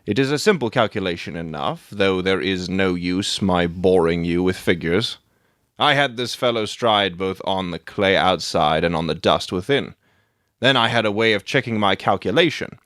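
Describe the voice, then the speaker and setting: A man performs a brilliant male detective for a dramatic audiobook. The voice sits low and swings widely in pitch as he lectures with precise, proud self-assurance, recorded dry and close. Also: animated, moderate pace, fairly bright tone, no echo. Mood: explaining, confident. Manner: lecturing, matter-of-fact, self-satisfied.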